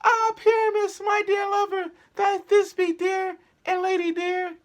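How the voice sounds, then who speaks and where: A man voicing a dainty, lovesick lady in a squeaky mock falsetto, in a comic Shakespeare reading. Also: level tone, measured pace. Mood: swooning.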